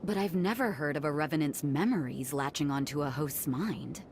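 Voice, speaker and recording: Low-voiced resolute woman commander. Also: normal speed, slight background noise. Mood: concerned, calm. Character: resolute, protective female hunter commander, guarded older sister. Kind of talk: dramatic video game cutscene acting. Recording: loud music behind the voice. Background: loud game music.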